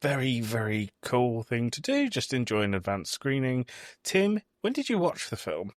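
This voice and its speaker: A man's voice: medium pitch, very animated, moderate pace, no room sound, clean studio sound. A man in his thirties with a British accent, hosting a podcast in casual conversation. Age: 30s. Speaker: podcast host.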